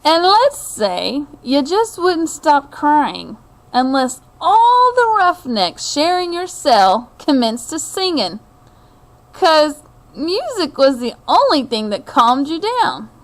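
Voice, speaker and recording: Middle-aged lady doing a kids book read-aloud, high voice, real slow and expressive, chats to listeners. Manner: expressive storytelling with deliberate phrasing and asides to listeners.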